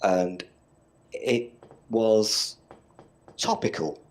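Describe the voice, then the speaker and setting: Man, middle-aged, Northern English accent, low voice, blunt, swears a lot, rambling casual podcast chat. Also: extremely slow, darkish tone. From Northern England. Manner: blunt, swears freely, meandering storytelling. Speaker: podcast co-host.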